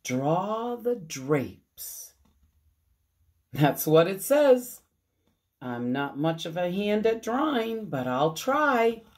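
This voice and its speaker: Woman reading as an earnest, lively storybook housekeeper. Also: lowish voice, very slow, heavily acted. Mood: puzzled. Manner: earnest, exclamatory. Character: cheerful, literal-minded, naive woman housekeeper in a storybook.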